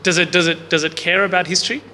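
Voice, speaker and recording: A man with a loud voice leading a Bible class without a microphone, talking casually and often putting questions to the group. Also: high voice, fairly even intonation, quick pace. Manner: loud voice, speaks without a mic. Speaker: Bible study teacher. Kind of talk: interactive Bible class teaching. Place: large room with a group, no microphone. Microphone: no mic on the speaker, recorder in the room. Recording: long hall reverb, faint background hiss.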